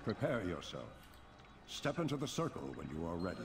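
A man's voice: sits low, big pitch swings, fairly quick, gravelly, music in the background, heavily acted. An actor playing an ancient male elf archdruid in a video game, solemn, formal and commanding. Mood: urgent command. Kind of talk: video game character dialogue. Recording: distant, noisy game audio.

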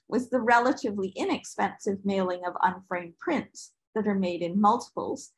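Husky-voiced older woman, higher-pitched, presenting. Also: poor audio. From Barbados. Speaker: artist and panel presenter. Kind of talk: prepared presentation read aloud. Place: indoor room with bookshelves, on a video call. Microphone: video call microphone.

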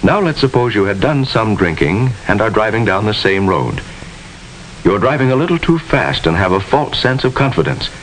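A man's voice: fairly low pitch, big pitch swings, normal speed, fairly bright tone, poor audio. An American man giving formal, instructive narration with precise diction in the style of a 1950s educational film. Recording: hissy 1950s film soundtrack.

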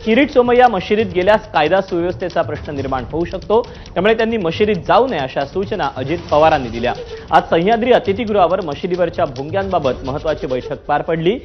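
A man's voice: high-pitched, expressive, fast. A man presenting scripted news in an emphatic, formal delivery. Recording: muffled broadcast audio with music bed. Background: news music bed.

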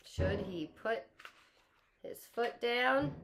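A woman's voice: on the high side, animated, drawn-out words, a bit bright, a little hiss or hum. A woman in her thirties reading a picture book to children like a teacher, expressively, with simple explanations and questions. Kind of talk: picture book read-aloud with explanatory asides. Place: living room at home.

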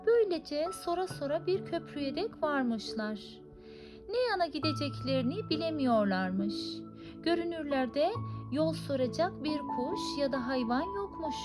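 A woman reads a children's fairy tale in a high-pitched voice. Her intonation is very animated, rising and falling widely as a storyteller. Music plays clearly behind her narration. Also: normal speed. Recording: music under the voice. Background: clearly audible music.

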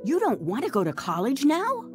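A woman's voice: high voice, wildly swooping pitch, normal speed. An actress playing an insistent, concerned mother in a radio drama, pressing her grown son. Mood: exasperated. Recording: music behind the voice.

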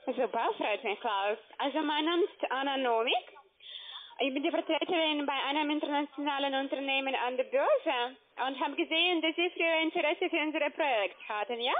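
A woman with a foreign accent, a non-native speaker, giving a polite scripted sales pitch by phone. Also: brisk. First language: not German. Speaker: telephone sales agent. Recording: thin phone line.

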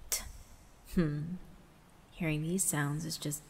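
Slow, low-voiced woman narrating in long reverb. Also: little pitch movement, a little mellow. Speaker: visual novel narrator. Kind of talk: dramatic first-person scripted narration. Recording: long reverb, music underneath. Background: background music.

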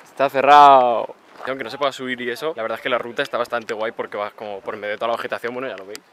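A man in his twenties chatting casually to camera while travelling, filming at arm's length outdoors and talking in a quick, chatty way. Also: medium pitch, fairly even intonation, fairly bright tone, slight background noise. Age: 20s. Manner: chatty, rapid, filler-heavy. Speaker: travel vlogger. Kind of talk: casual travel vlog narration. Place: outdoors on a bridge, city streets and coastal trail. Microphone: handheld camera at arm's length.

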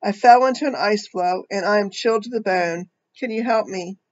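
A woman's voice: mid-range voice, moderate pace, dull audio, close to an everyday voice. A woman reading the lines of a chilled wolverine who growls as it talks.

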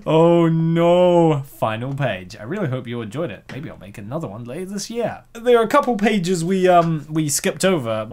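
A man hosting a comic reading, giggling often and rambling with lots of fillers. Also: on the high side. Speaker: host reading a comic dub. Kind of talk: casual chat.

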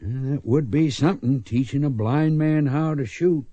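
An actor playing a weary, grumbling but good-hearted old ex-gunfighter in a radio western, folksy and hesitant. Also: male voice, mid-range voice, normal speed, warm tone, dry recording, natural voice. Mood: reluctant.

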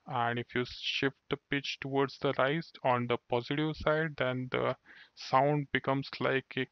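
A man giving a screen-recorded software tutorial, explaining steps one by one and sometimes restarting words. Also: medium pitch, clear, resonant tone, no room sound. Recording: dull dry lo-fi voice-over.

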